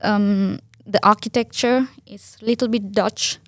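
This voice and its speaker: A woman's voice: medium pitch, fairly even intonation, a little slow, no echo, clean studio sound. A young woman from Sri Lanka with a Sri Lankan accent, a native Sinhala speaker, answering interview questions earnestly with many restarts and fillers. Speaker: guest.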